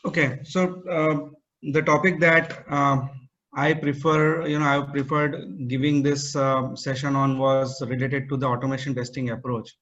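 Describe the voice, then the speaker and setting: A man giving an online meetup talk, pausing often with fillers and restarting his sentences. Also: medium pitch, level tone, fairly bright tone. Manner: hesitant, many fillers and restarts. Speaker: guest speaker. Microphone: video call. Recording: muffled call audio.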